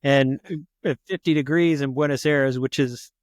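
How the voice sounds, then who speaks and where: Chatty podcast host, dry close sound. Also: male voice, mid-range voice, steady tone, moderate pace, no echo. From United States. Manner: chatty, filler-heavy questions. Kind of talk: casual interview.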